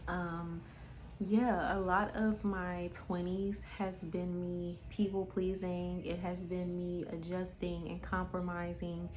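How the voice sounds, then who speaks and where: A woman's voice: on the low side, level tone, slow, darkish tone, a little hiss or hum. A woman in her twenties giving a casual motivational monologue, candid and emphatic, swearing freely and calling the listener honey. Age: 20s. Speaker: spiritual vlogger.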